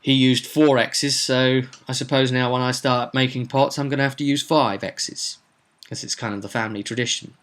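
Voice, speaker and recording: Irritated young male shopkeeper voiced by a man, mid-pitched, brusque, everyday delivery for a tabletop tale. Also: fairly even intonation, moderate pace, fairly bright tone, close to an everyday voice. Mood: weary, brusque.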